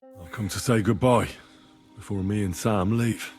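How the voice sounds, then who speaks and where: A man's voice: fairly low pitch, fairly even intonation, a little slow, darkish tone, soft background music. A man playing an earnest, brave young medieval adventurer in a game, plain-spoken and reassuring. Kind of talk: dramatic game cutscene acting.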